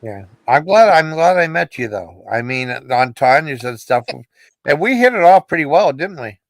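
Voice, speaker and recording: A man's voice: fairly high pitch, big pitch swings, moderate pace, dry recording. Casual male livestream host, rambling, close dry sound. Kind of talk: casual livestream chat and sign-off.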